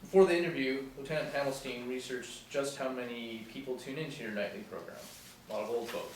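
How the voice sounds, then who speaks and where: A man's voice: medium pitch, a little fast, close to an everyday voice. A man reading the part of a deferential military sergeant in a scripted table reading. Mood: dutiful. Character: deferential male sergeant, lieutenant's right-hand man. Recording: very echoey room, distant mic, light noise.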